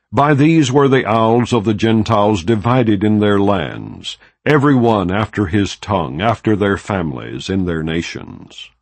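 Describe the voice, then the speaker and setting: A man reads scripture aloud in a slow, solemn, measured way, pausing between each name. His low voice has a gravelly edge and moves a lot in pitch. The recording is dry and close, with no room echo. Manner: measured, solemn phrasing with long pauses between names. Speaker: scripture reader. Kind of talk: unhurried audiobook reading of scripture.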